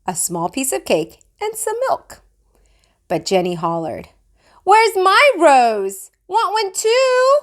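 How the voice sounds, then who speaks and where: A woman reading a children's book aloud like a teacher, with expressive storytelling. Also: mid-range voice.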